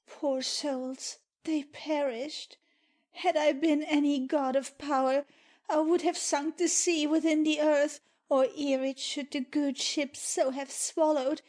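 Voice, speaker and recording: A woman's voice: high-pitched, a little mellow. A woman playing a tender, distressed young daughter, reading verse drama in a pleading, theatrical way.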